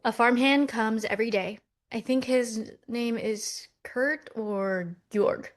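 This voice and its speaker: A woman reads as a skeptical millennial twin from New York in a romcom script. Her voice sits a little high, and she keeps it practical and dry. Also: slow pace. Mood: matter-of-fact. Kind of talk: table read of a romcom script.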